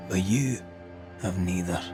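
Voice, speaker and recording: An actor playing a stern investigator in a video game, delivering a grim, scathing judgement in a deliberate manner. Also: male voice, sits low, over loud music. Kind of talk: dramatic video game monologue.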